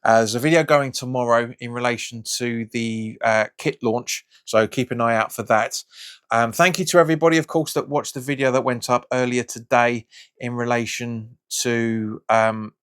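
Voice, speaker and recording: A man hosting a football fan channel, talking in a casual, frustrated, rambling way with many restarts, his voice slightly raspy and hoarse from hay fever. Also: medium pitch, fairly even intonation, moderate pace, fairly bright tone. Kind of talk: casual reaction vlog.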